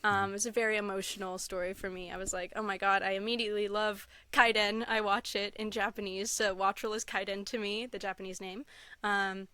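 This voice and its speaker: A woman's voice: mid-range voice, level tone, fairly quick, bright, forceful tone, clean tone, no echo. A woman guest on a podcast chatting casually, gushing and laughing often, with lots of "like" and "um". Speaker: podcast guest, content creator. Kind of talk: casual interview chat.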